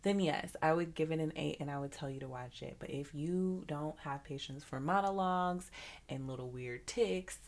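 A woman hosting a podcast in casual chat, relaxed and thoughtful as she gives her opinions. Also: low-pitched, moderate pace, gravelly. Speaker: podcast host.

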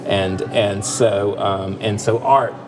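Low-voiced man musing aloud. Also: steady tone, slow, noisy background. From part Finnish heritage. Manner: thoughtful, many fillers and restarts. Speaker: podcast co-host. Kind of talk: casual discussion. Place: restaurant.

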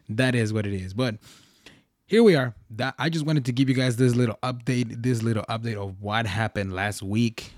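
A man's voice: fairly low pitch, no room sound, clean studio sound. A young man hosting his own podcast, talking casually and rambling with restarts and slang. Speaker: podcast host. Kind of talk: casual solo podcast talk.

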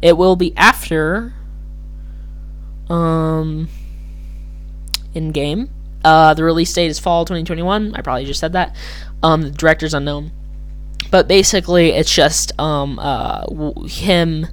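A podcast host with a slightly hoarse voice, talking casually and alone in a rambling, hesitant way that keeps correcting itself. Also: medium pitch, sing-song, measured pace, long pauses. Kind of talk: casual solo commentary.

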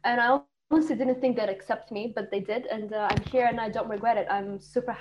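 A woman's voice: a little high, steady tone, racing, a little mellow, highs cut off. A woman in her twenties from Saudi Arabia, a native Arabic speaker, chatting casually as a podcast guest and telling stories with lots of likes and fillers. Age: 20s. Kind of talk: casual chat.

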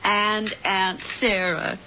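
A woman's voice: mid-range voice, flat delivery, extremely slow, bright, forceful tone. An actress playing a doting, homely older witch, the warlock's obedient companion, fussing in a motherly way in an old-time radio drama. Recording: muffled, noisy old radio recording.

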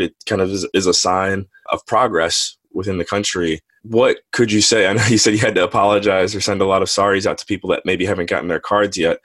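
A man's voice: low-pitched, fairly bright tone, no echo. A man hosting a podcast, asking friendly, curious interview questions. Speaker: podcast host.

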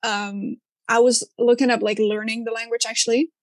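A young woman speaks English in loose chat, pausing and reaching for words with plenty of fillers. Her voice is a bit high. The sound is dry and close. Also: flat delivery, no echo. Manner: searching for words, hesitant with many fillers. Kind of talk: casual chat.

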